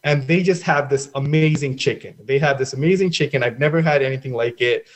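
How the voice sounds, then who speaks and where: A young adult man from Sri Lanka, a festival founder being interviewed, answering earnestly with many halting restarts. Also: medium pitch.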